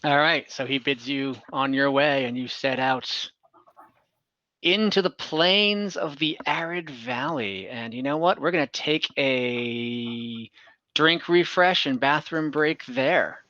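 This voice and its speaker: Bright-voiced man running a tabletop game. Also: mid-range voice, takes it slowly, no echo. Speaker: game master. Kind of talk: narrating an online tabletop game, casual chat. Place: indoors at home, on a group video call. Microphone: computer or headset mic over video call. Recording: dull video call audio.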